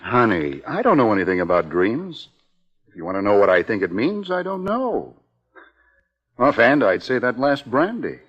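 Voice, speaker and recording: An actor playing an affectionate but dismissive husband in a 1950s radio drama, talking in short, casual, unconcerned replies. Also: male voice, mid-range voice, very animated, normal speed, a bit bright, slightly rough. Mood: offhand, dismissive. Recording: muffled old radio recording, faint noise.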